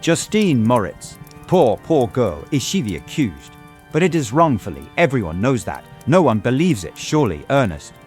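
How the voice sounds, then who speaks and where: An actor playing a tormented young gentleman who narrates a dramatized audiobook in an earnest, formal manner. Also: mid-range voice, sing-song, moderate pace, faint music behind, close to an everyday voice. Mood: pitying. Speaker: narrator and lead character.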